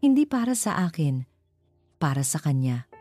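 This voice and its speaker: A woman's voice: deep voice, very animated, moderate pace, warm tone, faint music behind. A woman voicing a troubled, determined male company heir in an audio story, weary but commanding and earnest. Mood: weary, resolute.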